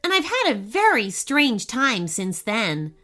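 A woman's voice: fairly high pitch, wildly swooping pitch, takes it slowly, pushed and bright, heavily acted. A woman voicing a kind-hearted, curious human girl with an eager, helpful manner in a storybook. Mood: curious, hopeful.

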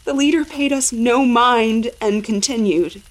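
A woman's voice: a little high, a little slow, low-quality recording. A woman reading a first-person horror story as a dramatic narrator.

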